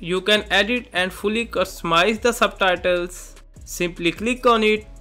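A man with a notably high voice gives step-by-step software instructions. His tone is bright and forward, his phrasing simple, and music plays faintly underneath. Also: measured pace. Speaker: software tutorial presenter. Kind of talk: step-by-step tutorial narration. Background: background music.

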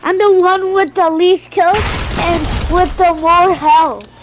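A young girl chatting excitedly and shouting callouts while playing a shooter game with her dad. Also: very high voice, slow pace. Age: child. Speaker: gamer. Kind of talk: casual gaming chat. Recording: noisy game audio behind.

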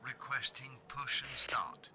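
Man as male airline pilot, game radio chatter, very sharp tone, medium pitch, clipped, businesslike. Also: level tone, slow pace. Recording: sharp, faintly noisy radio audio.

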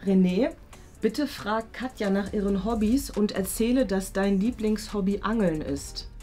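A woman's voice: mid-range voice, measured pace, mellow. A young woman presenting a casual vlog as its host. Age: young adult. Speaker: host giving remote instructions. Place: indoor home studio and small set. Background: music.

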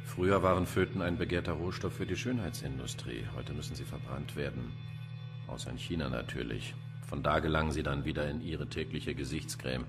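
A very low-pitched older male pathologist in a crime radio play, sardonic and pompous. He talks with dry, theatrical relish, and music plays behind him. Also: steady tone, fairly quick. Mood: cynical, dry. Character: sardonic, cynical, poetry-quoting male forensic pathologist. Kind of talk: German crime radio drama acting.

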